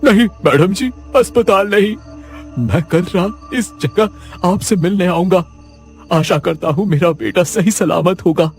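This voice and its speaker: A man's voice: high-pitched, measured pace, faint music behind, pitched above the performer's own voice. A man voicing a desperate father who is fearful and pleading for his son, in a deferential manner.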